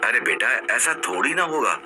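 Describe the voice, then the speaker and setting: A man acts a polite, reassuring dad in a horror cartoon. His mid-range voice moves up and down expressively, over loud music. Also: medium pitch, sing-song, normal speed, lightly acted. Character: reassuring husband and father, polite, calm family man. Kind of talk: Hindi cartoon voice acting.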